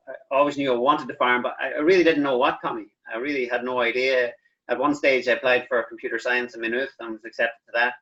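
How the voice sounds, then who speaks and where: Bright-voiced farmer, lo-fi. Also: male voice, mid-range voice. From Northern Ireland. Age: 40s. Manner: frank, self-deprecating, relaxed. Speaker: dairy farmer, guest. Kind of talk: casual interview storytelling. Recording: dull lo-fi line.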